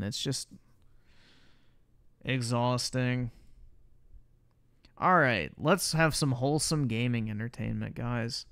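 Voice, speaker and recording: A man doing casual live-stream reaction commentary, blunt and slangy with frequent swearing. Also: mid-range voice. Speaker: livestreamer reacting to videos.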